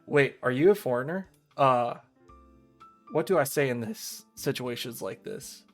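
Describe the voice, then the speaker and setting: A man with a middling pitch streams a visual novel, reading and chatting. Soft music plays behind him. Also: lively intonation, unhurried. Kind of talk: reading visual novel narration and dialogue aloud on a livestream.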